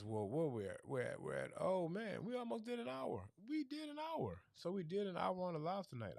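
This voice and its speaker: A man hosting a casual livestream, talking through his plans in a rambling way and going hoarse. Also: mid-range voice, no room sound, clean studio sound. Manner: rambling, thinking aloud with restarts. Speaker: livestream host.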